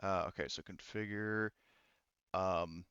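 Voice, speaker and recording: A low, smooth male voice, dry and slightly muffled. He is a game master talking through a tabletop session casually, pausing often as he works things out aloud. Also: steady tone, fairly bright tone, dry recording. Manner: halting, thinking aloud.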